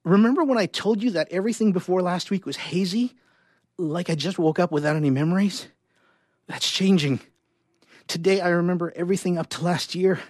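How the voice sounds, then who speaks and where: A man plays an earnest scientist husband in a dramatic story, grave yet tender and resolute. His voice is slightly high and husky, with a dry sound. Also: fairly quick, a little mellow, no echo. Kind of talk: dramatic short story reading.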